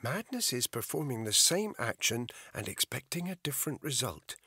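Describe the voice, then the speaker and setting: A man's voice: medium pitch, very animated, normal speed, sharp tone, husky, dry recording, voice pushed up, exaggerated voice. A man voicing a volatile, dangerous woman who hisses and whispers her scorn. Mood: menacing.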